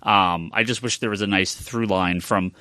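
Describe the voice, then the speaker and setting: A man's voice: on the low side, fairly even intonation, normal speed, pushed and bright, clean tone, no echo, studio quality. A middle-aged man chatting casually and giving his opinions as a podcast co-host. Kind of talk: casual panel chat about comic books.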